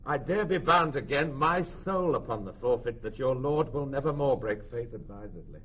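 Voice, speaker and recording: Solemn loyal merchant guy, husky voice, shakespeare radio play, bit muffled. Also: medium pitch, animated, moderate pace. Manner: solemn, formal. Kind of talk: classical Shakespeare radio acting. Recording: dull, lo-fi old radio recording.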